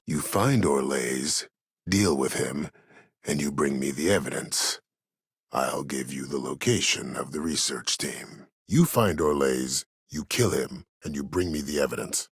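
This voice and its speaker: An actor playing an imprisoned, disgraced admiral in a video game, a calculating schemer who speaks with cold courtesy in measured, formal, deliberate phrases. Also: male voice, rumbling low, creaky, breathy edge, no room sound, clean studio sound, exaggerated voice. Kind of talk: video game character dialogue.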